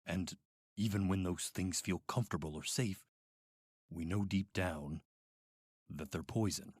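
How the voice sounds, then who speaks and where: Radio drama villain played by a man: middle-aged institute doctor, deep, rough-edged, clinical, calmly manipulative. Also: no room sound, clean studio sound. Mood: soothing. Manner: measured, clinical, coaxing. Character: calm, manipulative, sinister male doctor running an institute.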